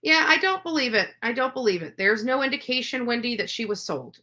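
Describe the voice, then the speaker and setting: A woman's voice: a little high, lively intonation, a little fast, sharp tone. A woman giving unscripted, opinionated livestream commentary, emphatic and accusatory, repeating phrases for force. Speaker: livestream commentator on true crime cases.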